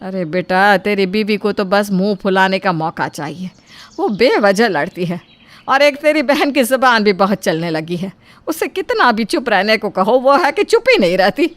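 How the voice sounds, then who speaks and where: Casting: actress for worried older mother-in-law, cartoon drama. Mid-pitched, pleading, conciliatory, mildly reproachful. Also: lively intonation, normal speed, dry recording, close to an everyday voice. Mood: complaining. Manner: pleading, placating. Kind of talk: Hindi cartoon moral-story acting.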